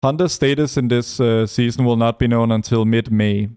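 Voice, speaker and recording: A man from Europe streaming a game, reading chat aloud and answering it between quick asides about his play. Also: medium pitch, steady tone, dark tone, clear, resonant tone. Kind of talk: casual gaming stream chat.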